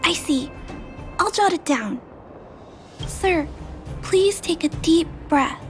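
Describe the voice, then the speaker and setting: A woman voicing a gentle, polite little girl in a video game, earnest and careful as she plays detective. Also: extremely high pitch, expressive, takes it slowly, faint music behind, exaggerated voice. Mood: concerned, careful.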